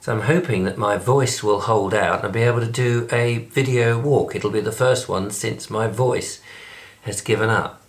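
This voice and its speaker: Hoarse English man, low-pitched, rambling self-deprecating vlogger talking to camera at home. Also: moderate pace. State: hoarse, recovering from lost voice. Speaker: video blogger. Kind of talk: casual diary vlog. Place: indoors at home and in a flat.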